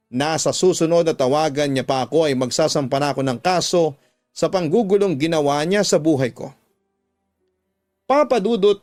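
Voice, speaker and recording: A Filipino man hosts a radio storytelling show, narrating in a dramatic letter-reading style. His voice sits a little high for a man. Soft music plays behind him. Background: background music.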